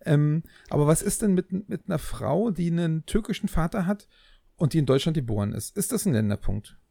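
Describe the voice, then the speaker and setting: Gravelly-voiced joking podcast guy. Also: on the high side, lively intonation, a little fast, warm tone, no echo, clean studio sound. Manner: joking, many fillers and restarts. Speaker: podcast co-host. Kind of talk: casual chat.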